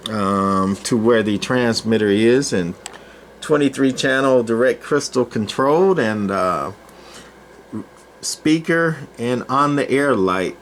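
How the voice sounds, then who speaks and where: Older man, folksy, over noisy room sound. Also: mid-range voice. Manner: rambling, folksy, halting with long pauses. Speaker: presenter, CB radio collector. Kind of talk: casual unscripted equipment demo.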